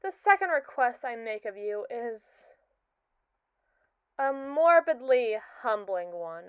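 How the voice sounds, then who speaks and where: A woman's voice: fairly high pitch, sing-song, takes it slowly, clear, resonant tone, no room sound. A woman voicing a smug, obsessive android. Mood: earnest. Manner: formal, precise, condescending diction. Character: arrogant yandere android, obsessive, condescending. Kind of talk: ASMR roleplay. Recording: tinny, dry, phone-like sound.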